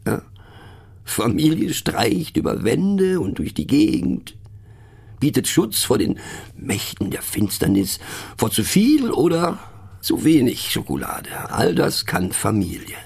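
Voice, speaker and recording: Radio-play narrator: low-pitched gravelly eccentric, wry and rambling, conspiratorial, halting delivery. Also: male voice, darkish tone, exaggerated voice. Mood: brooding, wry. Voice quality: gravelly, husky. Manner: conspiratorial, halting, often dropping to a near whisper. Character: musing, mocking, eccentric male narrator, rambling and self-doubting. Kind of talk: satirical radio monologue addressing the listener.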